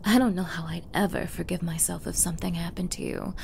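A woman's voice: on the low side, steady tone, fast, vocal fry, a little mellow, a little hiss or hum. A woman playing a sweetly menacing, possessive young girlfriend in an intimate ASMR roleplay, coy and cooing, often dropping into whispers. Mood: mock-concerned. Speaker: roleplay audio performer.

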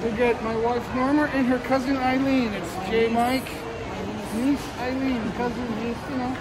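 A man's voice: very high-pitched, steady tone, measured pace. A man hosting a casual travel vlog, chatting upbeat to viewers while filming on a phone. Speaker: vlogger, host. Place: busy indoor shopping mall and food court. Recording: loud crowd noise behind.

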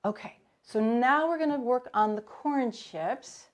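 A woman's voice: fairly high pitch, measured pace, dull audio, a little hiss or hum. A woman in her forties teaching a live cooking demo from her home kitchen, chatting and greeting viewers by name as she works. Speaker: cooking instructor.

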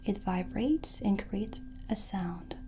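A middle-aged woman hosting an ASMR roleplay, explaining softly and soothingly in a gentle whisper, close to the microphone. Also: medium pitch, lively intonation, takes it slowly, a little hiss or hum. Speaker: ASMR host presenting a sound healing roleplay. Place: small dim indoor set.

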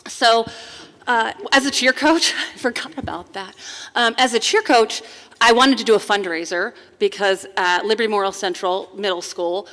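Loud, bright-voiced woman in a hall. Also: medium pitch, moderate pace. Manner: loud, emphatic, laughs while talking. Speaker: school board candidate, cheer coach. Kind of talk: candidate forum statement. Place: large hall, seated on stage panel. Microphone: handheld microphone. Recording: long hall reverb.

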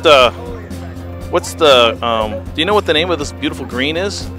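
A man's voice: a little high, lively intonation, moderate pace. A middle-aged man hosting a car show, casually interviewing an owner with a handheld mic and admiring the car. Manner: enthusiastic, admiring, often says wow. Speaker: host. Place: outdoor car show on a lawn. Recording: music in background.

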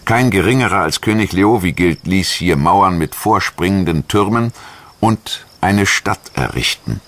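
A man reading a scripted history documentary narration in a measured, articulate storytelling manner. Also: deep voice, lively intonation, a bit bright, no echo. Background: music.

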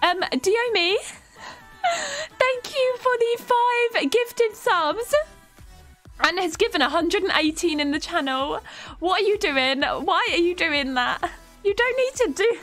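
A young woman streaming a life-sim game from home, chatting warmly with her viewers while stuffed up with a cold. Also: very high voice, animated, slow, faint music behind. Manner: chatty, affectionate toward chat, giggly. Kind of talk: live game stream chat.

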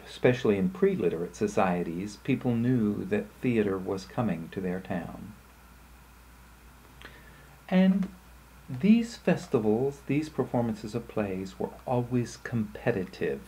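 A man giving an explanatory slide lecture in short, measured phrases with pauses. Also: on the low side, expressive, mellow, husky, poor audio.

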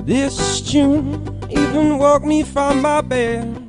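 High-voiced woman singing over loud guitar. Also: steady tone, slow pace. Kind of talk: singing, folky song with guitar. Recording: loud plucked guitar music.

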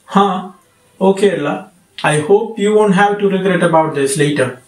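A man's voice: sits high, moderate pace, a little mellow, close to an everyday voice. A man playing a stern, irritable wealthy father in an amateur radio drama, with a blustery, scolding manner. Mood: grudging. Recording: echoey room.

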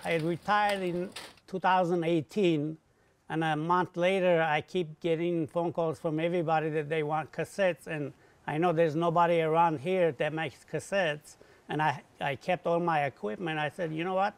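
Creaky, higher-voiced man in his sixties. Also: steady tone, normal speed, dry recording. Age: 60s. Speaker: cassette manufacturer, interviewee. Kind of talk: casual interview talk. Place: small factory workshop, indoors.

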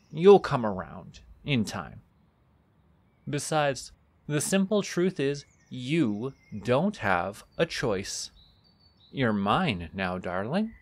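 Archfey captor, played by a man, male, immortal; very animated intonation, silky, teasing, condescendingly affectionate; fantasy audio roleplay. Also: mid-range voice, heavily acted. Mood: smug, possessive. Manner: courtly, coaxing, condescendingly affectionate.

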